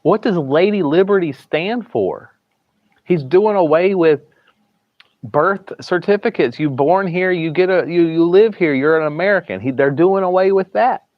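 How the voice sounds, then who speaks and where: A man's voice: high voice, normal speed, no room sound. A man with a thick Southern US accent, rambling through a casual livestream from a hospital room and often restarting or trailing off into mumbles. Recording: dry, slightly dull livestream audio.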